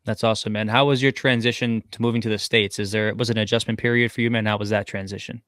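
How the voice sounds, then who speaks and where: A man hosting a podcast interview, asking questions in a quick, casual way and often calling his guest "man". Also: on the low side, level tone, a bit bright, no room sound, clean studio sound. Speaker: podcast host.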